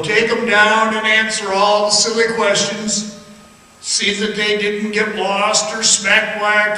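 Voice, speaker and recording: A man playing a haunted middle-aged former copper-mine guide, telling a dark tale in a folksy, rambling, halting radio theatre monologue. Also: high voice. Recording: roomy echoing hall, distant mic, faint noise.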